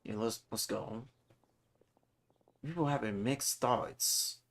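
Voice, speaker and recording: A man's voice: medium pitch, slow pace, gravelly, fairly bright tone. A man streaming a video game live with casual, offhand commentary. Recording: game music behind voice. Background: video game music.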